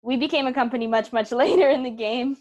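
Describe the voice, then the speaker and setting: A woman's voice: sits high, fast, darkish tone. A woman chatting as an interview guest, thinking aloud and searching for her words. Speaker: artistic director, interview guest. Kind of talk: casual interview chat.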